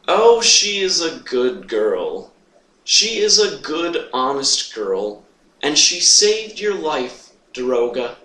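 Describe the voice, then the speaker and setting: In a roomy, echoing space, a man acts out a tormented, lovesick Phantom with menace under his obsession. The voice is high for a man and swings widely, stretched out in theatrical, emphatic monologue. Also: sharp tone, full character voice. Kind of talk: dramatic monologue reading. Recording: roomy echo, distant microphone.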